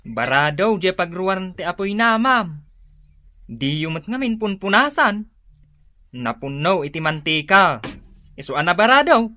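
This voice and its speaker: Fault-finding Ilocano repairman, radio comedy: forceful bright tone, close dry sound. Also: high-pitched, very animated, unhurried, long pauses, no room sound, heavily acted. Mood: fussy, reproachful. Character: fussy repairman inspecting a battered stove.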